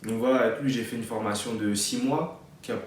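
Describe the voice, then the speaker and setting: A man's voice: mid-range voice, a little fast, distant mic, slight background noise. Chatty young man in an echoey room. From France. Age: 20s. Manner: chatty, many fillers and restarts. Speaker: vlogger. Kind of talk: casual advice vlog.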